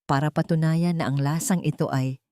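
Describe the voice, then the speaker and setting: A woman's voice: sits low, fairly even intonation, normal speed, warm tone, no echo, studio quality. A woman voicing a proud, scheming wealthy matriarch in a story, with a Filipino accent and a grand, formal, commanding manner.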